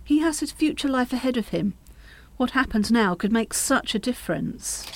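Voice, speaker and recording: Insistent, practical French mother, played by an actress, very varied pitch, radio drama with faint background noise. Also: expressive. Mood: urgent, persuasive. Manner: brisk, insistent, pressing. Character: practical, persuasive mother of a grown daughter, French madame. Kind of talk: radio play acting.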